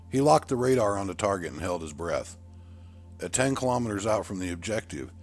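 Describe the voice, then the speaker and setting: A man reading scripted dramatic narration from a military thriller with measured, deliberate phrasing. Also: sits low. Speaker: audiobook narrator.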